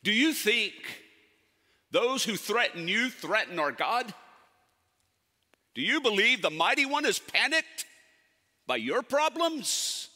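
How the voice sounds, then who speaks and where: A man performing a theatrical monologue as a solemn, commanding ancient prophet, speaking deliberately with dramatic pauses. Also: high-pitched, very animated, bright, forceful tone, full character voice. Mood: fervent, challenging. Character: prophet Isaiah, solemn, commanding, counseling a fearful king. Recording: echoey hall with long reverb.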